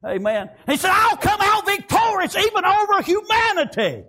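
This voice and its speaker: Man, preacher, very high voice, lively swooping pitch, shouted rhythmic sermon. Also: normal speed. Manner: shouting, rhythmic preaching with frequent "praise God" and "amen".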